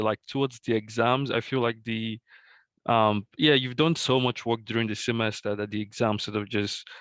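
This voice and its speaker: Laid-back low-voiced man from Nigeria. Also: dry recording. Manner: relaxed, many fillers like um and like. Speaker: current online graduate student. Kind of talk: webinar answers.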